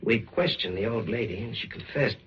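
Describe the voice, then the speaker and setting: Forceful husky detective, old radio. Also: male voice, fairly low pitch, full character voice. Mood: stern accusing. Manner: brisk, forceful, insistent. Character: firm, no-nonsense male police detective. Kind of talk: old-time radio suspense acting. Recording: dull vintage radio recording.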